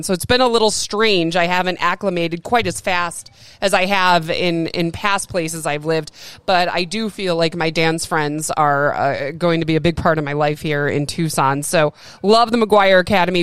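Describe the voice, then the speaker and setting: Chatty woman podcast host, smooth voice. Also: on the low side, normal speed, fairly bright tone, dry recording. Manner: chatty and upbeat, laughs often; former radio broadcaster. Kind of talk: casual solo podcast monologue.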